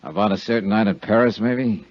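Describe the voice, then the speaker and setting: A man's voice: lowish voice, a little fast, natural voice. An American man playing a hard-boiled, brooding and self-assured insurance investigator in a 1950s radio detective drama. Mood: brisk, confident. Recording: dull, muffled 1950s radio recording.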